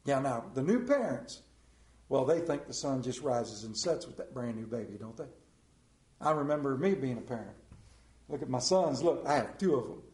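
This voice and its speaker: A man's voice: medium pitch, animated, moderate pace, darkish tone. A middle-aged man with an Appalachian accent, a television pastor preaching a sermon in a folksy, storytelling way full of rhetorical questions. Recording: roomy echo.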